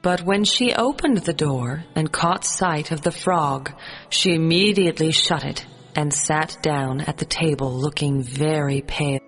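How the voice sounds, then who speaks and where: A woman narrating a children's fairy tale as a storyteller, in a measured storybook reading. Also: low voice, breathy edge, in a large hall, soft background music.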